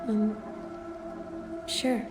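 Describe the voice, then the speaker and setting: A woman's voice: very slow, over music. A woman voicing a timid young girl in a horror game, giving small, hesitant replies. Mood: timid, wary.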